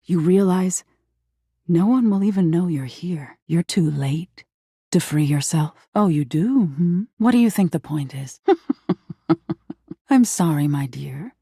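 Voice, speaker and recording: A woman voicing an eerie, thoughtful nightmare spirit in a video game, measured and breathy, often slipping toward a whisper. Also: fairly low pitch, animated, mellow, dry recording, clean studio sound, full character voice. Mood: gently lecturing. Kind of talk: scripted game character acting, intimate second-person storytelling.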